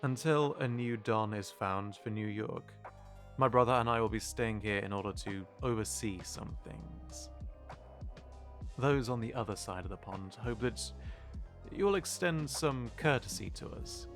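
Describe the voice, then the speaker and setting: A man playing a polite, diplomatic mafia twin in an audio roleplay, speaking formally and with measured courtesy. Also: fairly low pitch, soft background music. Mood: firm, diplomatic.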